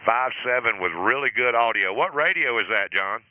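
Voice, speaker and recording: A man acting as net control on an amateur radio net, chatting in a relaxed, friendly way and chuckling now and then. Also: mid-range voice, moderate pace, highs cut off, slight background noise.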